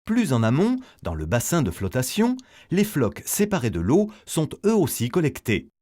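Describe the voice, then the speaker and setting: A male narrator delivers a scripted educational voice-over at a medium pitch. His intonation is highly animated, rising and falling widely as he explains each step in a didactic way. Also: normal speed.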